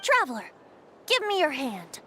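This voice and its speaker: Playful high-voiced boyish bard. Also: female voice, wildly swooping pitch, normal speed, bright, forceful tone, slight background noise, heavily acted. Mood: gently earnest. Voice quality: light, youthful. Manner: theatrical, teasing, lilting. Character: playful boyish young male bard, wind spirit. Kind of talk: video game voice acting.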